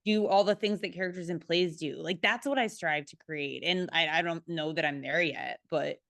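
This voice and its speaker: A woman's voice: fairly low pitch, moderate pace, creaky, a bit bright. A woman speaking as a playwright on a panel, casual and earnest.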